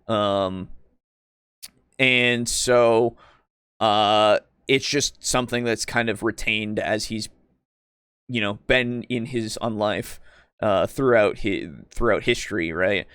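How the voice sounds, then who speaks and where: A man with a clear, smooth voice recorded close and dry hosts a casual discussion. He speaks in a halting, wordy way, often pausing and circling back to qualify what he just said. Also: on the low side, fairly even intonation, a bit bright, no room sound. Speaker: podcast host. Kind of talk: casual discussion, commenting on a video for a live stream.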